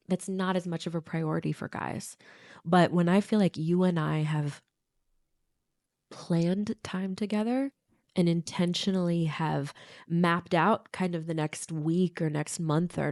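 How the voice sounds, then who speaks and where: A woman with a deep, gravelly voice, nasal and congested, talks things through with her partner in a reflective, earnest way. The sound is dry and close, with no room around it. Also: darkish tone, no room sound. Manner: reflective, earnest, laughs lightly. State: stuffed-up nose. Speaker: podcast co-host. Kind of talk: casual chat with partner.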